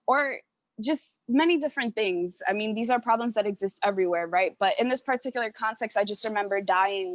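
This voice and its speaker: A woman's voice: medium pitch, no echo. Candid New York woman, dry close sound. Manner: candid, emphatic, swears casually. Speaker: panelist artist. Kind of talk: casual panel chat. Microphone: video call.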